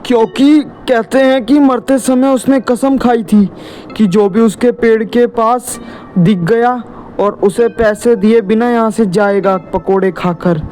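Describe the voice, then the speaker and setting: A man's voice: very high voice, fairly even intonation, unhurried, a little mellow, soft background music, pitched above the performer's own voice. A man voicing a timid, anxious village boy in a horror cartoon story, fearful and pleading. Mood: grave, scared.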